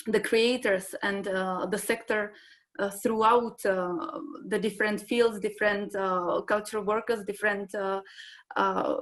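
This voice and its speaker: A woman speaks English as an on a conference panel. Her pitch sits mid-range for a woman. She hesitates often and fills gaps with "uh" as she restarts her sentences. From Czech Republic. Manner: hesitant, many fillers and restarts. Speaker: conference panelist from a large arts institution. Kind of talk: panel discussion answer.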